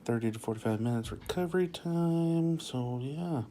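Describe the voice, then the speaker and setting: A man in a hospital room giving calm, matter-of-fact updates for a vlog while his partner is in surgery. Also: on the high side, normal speed.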